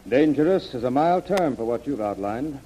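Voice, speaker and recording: An actor playing a confident, measured military general in a 1930s radio drama. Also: male voice, medium pitch, animated, warm tone, a little hiss or hum, lightly acted, poor audio. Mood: grave, measured. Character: gruff, confident American army general weighing a mission.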